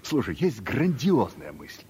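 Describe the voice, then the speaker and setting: An actor playing a smooth, back-slapping middle-aged careerist boss in a 1970s radio play, hearty and persuasive. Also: male voice, lowish voice, huge pitch swings, a little slow, darkish tone, a little hiss or hum, heavily acted. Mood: scheming. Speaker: newly appointed deputy director.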